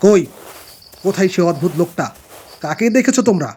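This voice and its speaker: A man voicing a gruff, corrupt senior police officer in a horror cartoon. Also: high voice, expressive, fairly quick, darkish tone, a little hiss or hum. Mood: scornful. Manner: scolding, disbelieving.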